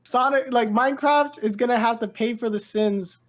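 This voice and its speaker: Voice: medium pitch, normal speed. A podcast co-host chatting casually about pop culture, rambling and often repeating phrases.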